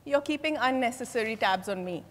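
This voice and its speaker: A woman's voice: fairly high pitch, expressive, a little fast, natural voice. A young woman on stage playing an ambitious, defensive suspect who answers questions in a guarded way. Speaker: stage actor. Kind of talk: dramatized play reading on stage. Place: large hotel ballroom stage. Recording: echoey hall from far off.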